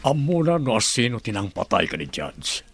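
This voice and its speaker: A man with a Filipino Ilocano accent playing a stern, demanding governor in a radio drama, with a husky voice. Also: on the low side, big pitch swings, moderate pace, a bit bright, heavily acted. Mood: grave, resolute.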